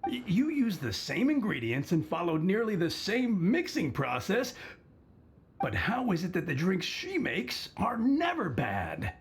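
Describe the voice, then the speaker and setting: A man's voice: high voice, wildly swooping pitch, a little slow, full character voice. An actor playing an opinionated, effusive old male tavern drinker in an anime game, theatrical and exaggerated. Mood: critical.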